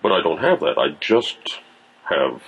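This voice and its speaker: A man's voice: low voice, very animated, a little slow, creaky, a bit bright, husky. A man from Tennessee, a podcast guest talking reflectively in casual conversation and searching for his words. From Tennessee, USA. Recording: tinny phone-like sound.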